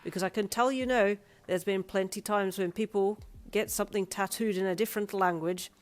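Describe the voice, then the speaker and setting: A woman's voice: medium pitch, fairly even intonation, fairly quick, faint music behind, close to an everyday voice. A woman voicing a friendly, chummy Irish man who works as a piercer and tattoo artist in a narrated story. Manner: chummy, colloquial, cheery.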